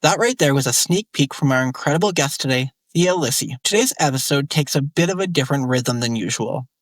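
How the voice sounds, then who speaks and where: A man's voice: mid-range voice, lively intonation, a little fast, no room sound, faint music behind. A male podcast host reading a scripted, upbeat introduction for a guest. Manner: upbeat, promotional.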